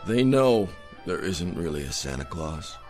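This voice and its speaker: A tipsy, wistful down-and-out Santa in a radio play. The man's voice is low and slow, rambling and mock-courtly, with swooping intonation. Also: sing-song, a little mellow, faint music behind. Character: boozy, wistful down-and-out department store Santa. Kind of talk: radio drama acting.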